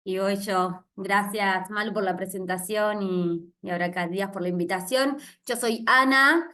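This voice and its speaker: A woman from Argentina, a guest speaker introducing herself on a video call in a halting way. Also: medium pitch, sharp tone. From Bahía Blanca, Argentina.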